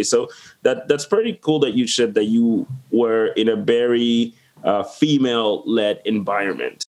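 A man with a fairly low voice hosts a podcast in a casual, rambling way. His questions wander and restart before they land. He grew up in the Dominican Republic. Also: rough recording. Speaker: podcast host. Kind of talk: casual interview chat.

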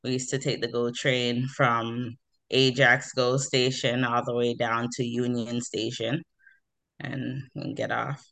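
A woman's voice: rumbling low, level tone, takes it slowly, gravelly, fairly bright tone. A woman from Toronto, a hairstylist chatting as an interview guest, with many fillers and easy laughs. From Toronto, Canada. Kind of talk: casual interview chat.

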